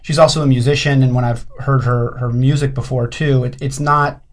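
A man's voice: mid-range voice, steady tone, moderate pace. A man who works as an animation creative director, talking casually in an interview and often restarting his sentences.

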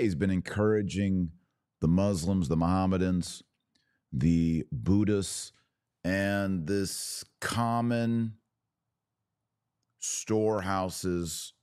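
Low-voiced man, slow deliberate commentary. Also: darkish tone, smooth, dry recording, studio quality. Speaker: podcast host. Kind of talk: live religious commentary.

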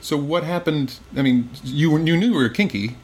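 A man's voice: a little high, moderate pace, a little hiss or hum. Chatty male podcast host. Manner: rambling, friendly, full of restarts. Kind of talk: casual podcast interview.

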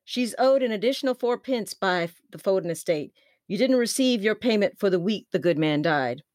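A woman's voice: mid-range voice, lively intonation, moderate pace, a little mellow, no room sound, clean studio sound, pitched above the performer's own voice, close to an everyday voice. A woman reading as a precise male solicitor. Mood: matter-of-fact. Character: fussy male solicitor checking a ledger.

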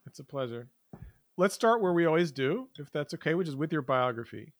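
A man's voice: a little high, lively intonation, a little fast, dry recording, studio quality. A man hosting a podcast, reading a careful introduction and then interviewing a guest. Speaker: podcast host.

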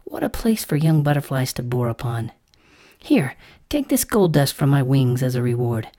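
A woman's voice: a bass voice, very animated, moderate pace, mellow. A woman voicing a frail, dying mother butterfly in a storybook, plaintive and sighing as she pleads. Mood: pleading, regretful. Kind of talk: storybook character voice.

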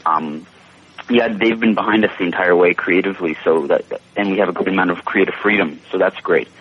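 A seventeen-year-old Canadian man answering casual interview questions politely, pausing as he thinks through his phrasing. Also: low voice, lo-fi sound, background noise. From Toronto, Canada. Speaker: interview guest, young actor.

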